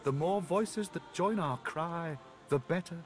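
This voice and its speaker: An actor playing a solemn, devout cathedral brother in a fantasy game, speaking in a measured, formal way. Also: male voice, fairly high pitch, lightly acted. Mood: pious welcome. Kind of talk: fantasy video game acting.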